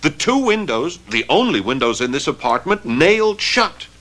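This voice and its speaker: Bright, animated, excitable young policeman. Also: a little high. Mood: alarmed, urgent. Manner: emphatic, theatrical, dramatic pauses. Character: jealous, excitable, ambitious young French policeman. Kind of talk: old radio drama acting.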